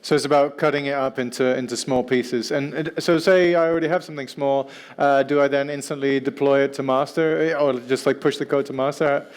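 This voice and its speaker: A man's voice: medium pitch, fairly even intonation, fairly quick, few pauses. A man moderating a panel discussion, putting unscripted questions to the speakers.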